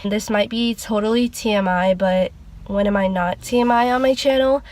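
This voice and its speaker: A woman's voice: mid-range voice, normal speed, darkish tone. Congested young woman chatting casually. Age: young adult. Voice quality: nasal. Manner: rambling, chatty, lots of "like" fillers. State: congested from a cold. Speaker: vlogger. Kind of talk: casual sit-down chat.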